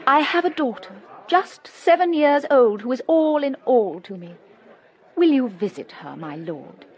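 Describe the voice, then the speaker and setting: A languid, provoking society lady in a period radio drama. Her high voice moves expressively, with a silky, theatrical manner and a show of piety. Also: sing-song, a little slow, slightly rough, exaggerated voice. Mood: grandly pleading. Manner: mock-pious, silky, grandly pleading.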